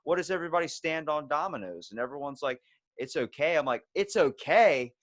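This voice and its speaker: A man hosting a podcast, telling casual anecdotes in an animated, sweary way and acting out the people he quotes. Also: fairly high pitch, a little fast, no echo, studio quality. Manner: animated storyteller, profane, acts out quotes. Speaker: podcast host.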